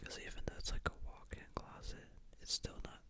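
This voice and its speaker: An exhausted ASMR creator rambling very softly in a hushed, sleepy whisper late at night in a bedroom. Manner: very soft, hushed, unhurried rambling. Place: small bedroom, lying in bed at night.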